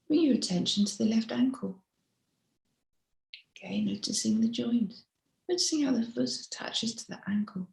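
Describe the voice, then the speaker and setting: A woman mindfulness teacher leading a slow guided meditation in a gentle, unhurried, instructive way. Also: mid-range voice, creaky. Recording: roomy, echoey sound.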